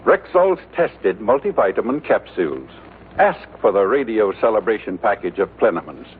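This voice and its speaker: A man's voice: lowish voice, big pitch swings, moderate pace. A man announcing a scripted commercial in mid-century radio style. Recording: tinny old radio recording with hiss.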